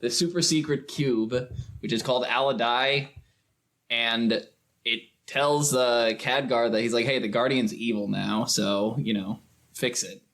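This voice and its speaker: Man, mid-pitched voice, casual podcast chat, informal and animated retelling. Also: a little slow. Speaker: film podcast speaker. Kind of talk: casual film discussion.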